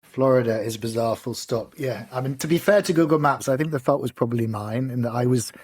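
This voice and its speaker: A man with a husky voice of middling pitch co-hosts a podcast. His talk is casual and anecdotal, like relaxed storytelling between friends. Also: fairly quick. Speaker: podcast co-host.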